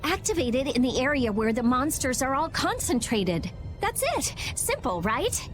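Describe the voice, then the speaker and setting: A woman's voice: high voice, very animated, moderate pace. A woman voicing a curt, impatient, easily exasperated teenage genius girl in an anime game dub over music. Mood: brisk confident. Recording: loud game music behind.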